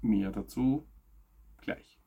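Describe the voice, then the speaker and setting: A man reads scripted news as a presenter, in measured, emphatic phrases that fall away at sentence ends. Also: lowish voice, gravelly, narrow, tinny audio, very noisy.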